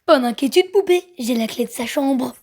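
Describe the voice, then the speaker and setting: Mischievous male cartoon rabbit female performer, flippant and teasing, extremely high voice, amateur dub. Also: animated, normal speed, a little mellow. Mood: smug teasing. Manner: flippant, taunting.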